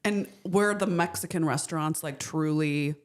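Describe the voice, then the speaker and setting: A woman with an alto voice, hosting a podcast in a playful, teasing manner. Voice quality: lowish alto voice. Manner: playful, quick to laugh. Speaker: podcast host. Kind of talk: casual chat.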